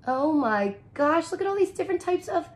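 A woman in her thirties reads a picture book aloud to young children and comments on it in simple, expressive, wonder-filled phrases. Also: sits high, fairly quick. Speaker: teacher reading aloud to children. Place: small indoor room, curtained corner.

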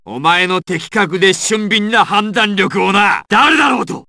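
Arrogant anime mob boss villain, super theatrical and threatening, dry studio sound, game voice lines. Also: male voice, sits high, measured pace, sharp tone, dry recording, heavily acted. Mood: furious, shouting. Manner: imperious, menacing, theatrical delivery. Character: proud, ruthless male crime boss villain. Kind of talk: dramatic anime fighting game voice acting.

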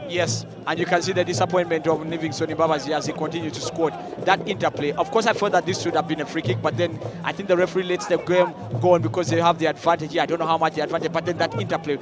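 A man with a Ugandan English accent analysing a football match as co-commentator, talking in a measured way with many fillers. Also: fairly high pitch, fairly even intonation. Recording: broadcast mix with crowd and music.

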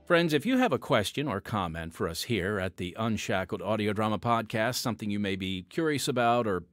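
A man's voice: on the low side, animated, a little fast, no room sound, studio quality, soft background music. A man hosting a ministry podcast, reading announcements with some ad-libbed asides. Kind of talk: scripted radio ministry announcements, partly ad-libbed.

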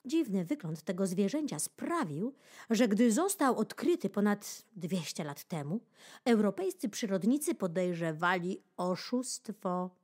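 A woman narrating a children's nature book in an expressive audiobook style, with playful, dramatic pauses and emphasis. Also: medium pitch. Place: studio. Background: keyboard music.

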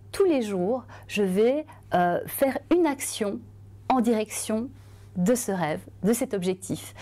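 Earnest woman with a mellow voice. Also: mid-range voice. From Belgium. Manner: earnest, expressive, lively hand gestures. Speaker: journalist and author. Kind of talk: testimonial interview to camera.

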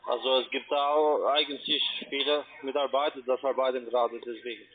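A man's voice: medium pitch, fairly even intonation. Polite foreign-accented man, tinny phone line. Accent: non-native German. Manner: polite, halting. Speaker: call centre agent. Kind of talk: phone sales call. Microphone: phone call. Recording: tinny narrow telephone line.

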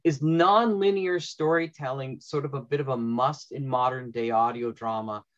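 A man's voice: medium pitch, moderate pace. A middle-aged man moderating an unscripted panel discussion over a video call. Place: small room at home, indoors. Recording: dull video-call audio.

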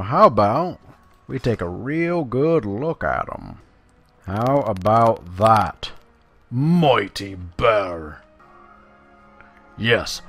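A man's voice: fairly low pitch, bright, forceful tone. A man giving casual, playful game commentary, chatting to himself and to viewers as he plays. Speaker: gaming video maker.

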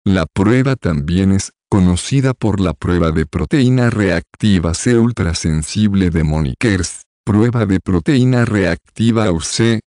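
Deep, mellow male health narrator. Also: dry recording, studio quality.

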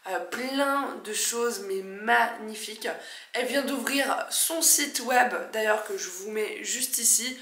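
A young woman in her twenties with a stuffy nose from a cold, chatting excitedly and poking fun at herself while she unboxes a plant in her living room. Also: on the high side, echoey room. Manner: chatty, excitable, self-mocking. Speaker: plant vlogger. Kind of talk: casual unboxing vlog.

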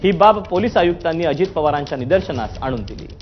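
High-pitched man reading news. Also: expressive, fast talker. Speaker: news presenter. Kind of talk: scripted news narration. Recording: muffled broadcast audio with music bed. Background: news music bed.

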